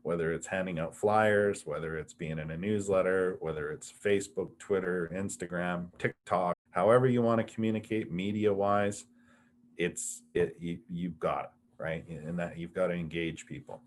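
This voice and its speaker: A man's voice: low voice, moderate pace, slight background noise. A man who directs a community safety group, speaking earnestly and repeating words as he thinks, in a documentary interview.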